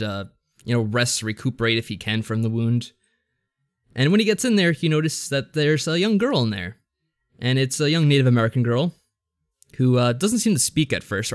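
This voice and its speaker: A man's voice: medium pitch, expressive, brisk. A man co-hosting a podcast, giving a casual review in a rambling, slightly hesitant explanatory way. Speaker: podcast co-host.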